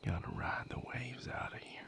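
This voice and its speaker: A man playing a weary, wounded vampire healer in an intimate audio roleplay, speaking in a breathy, gravelly, halting and earnest way. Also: a bit bright, natural voice. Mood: troubled, reflective. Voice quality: breathy, gravelly, half-whispered. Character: weary, wounded male vampire healer leaving his house.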